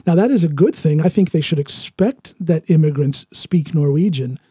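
A man's voice: a little high, moderate pace, warm tone, husky, no room sound, clean studio sound. An American man hosting a podcast, chatting casually and bluntly, often laughing as he talks. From United States. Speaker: podcast host.

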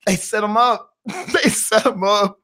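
A man's voice: high-pitched, unhurried, sharp tone. A man co-hosting a casual podcast, telling stories animatedly and laughing a lot. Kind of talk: casual chat and freestyle rap.

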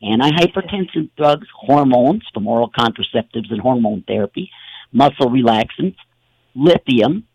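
A man's voice: mid-range voice, lively intonation, normal speed, a little mellow, dull audio. A man hosting a talk show, telling stories in a rambling, casual way with many fillers and restarts. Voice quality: gravelly, husky.

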